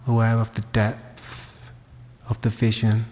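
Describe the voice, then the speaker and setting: A man leading a guided meditation, speaking gently and leaving long pauses. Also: fairly low pitch, monotone. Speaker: meditation teacher. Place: reverberant room at a wellness centre. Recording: long hall-like reverb.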